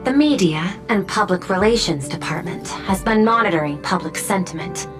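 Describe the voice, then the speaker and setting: A woman voicing a polite, dignified video game official with a formal, measured delivery. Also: medium pitch, natural voice. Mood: composed, grave. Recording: music under the voice.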